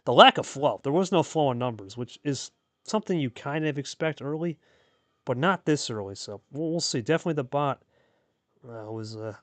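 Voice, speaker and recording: A man's voice: mid-range voice, lively intonation, moderate pace, no room sound. A man hosting and calling a card game match in a casual, chatty play-by-play style, repeating phrases for emphasis. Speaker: host and play-by-play commentator.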